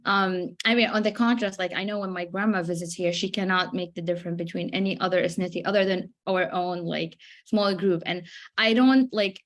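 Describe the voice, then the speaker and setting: A woman from Syria asks a question during a medical seminar. She picks her words carefully, stopping and restarting as she tries to frame her point. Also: fairly low pitch. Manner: searching for words, hesitant, restarts. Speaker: resident asking a question.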